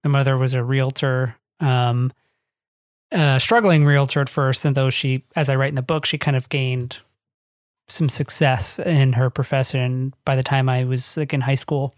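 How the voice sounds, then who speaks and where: An author with an American accent, talking reflectively in an interview in a halting, discursive way, thinking aloud and hedging often. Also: medium pitch, steady tone, no room sound, studio quality. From northwest Ohio, United States. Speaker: guest author of a memoir. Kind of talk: reflective podcast interview talk.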